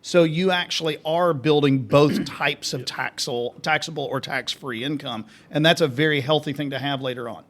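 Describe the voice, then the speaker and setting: A man's voice: a little high, normal speed, hardly any pauses, a bit bright. A man co-hosting a finance radio show, explaining plainly and walking through examples. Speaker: financial advisor, co-host. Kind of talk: casual radio chat.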